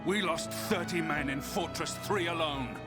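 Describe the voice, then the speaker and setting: An actor playing an earnest, burdened young soldier hero in a video game. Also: male voice, on the high side, a little slow, lightly acted. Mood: anguished. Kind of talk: dramatic video game acting. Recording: long reverb, faint music behind.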